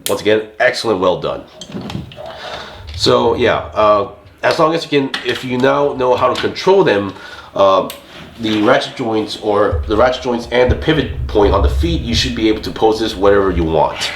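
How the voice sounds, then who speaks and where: A man with a hoarse, fading voice chatting casually as he handles and reviews an action figure. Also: lowish voice, lively intonation, moderate pace, echoey room, slight background noise. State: hoarse, losing his voice. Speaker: toy reviewer. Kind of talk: casual hands-on product review.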